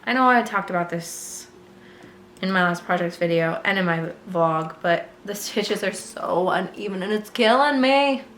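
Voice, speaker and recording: A woman vlogger chatting casually about her knitting, her voice still a little hoarse as it recovers, rambling with fillers and light laughs. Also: medium pitch, measured pace, fairly bright tone, echoey room, a little hiss or hum. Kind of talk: casual chat to camera.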